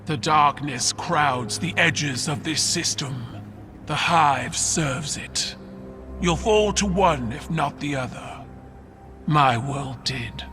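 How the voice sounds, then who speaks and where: An actor playing a proud, imperious alien warrior empress in a video game cinematic, speaking in a regal, measured and forceful way. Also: medium pitch, lightly acted. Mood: grave. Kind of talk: dramatic game cinematic acting. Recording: prominent cinematic music behind voice. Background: music.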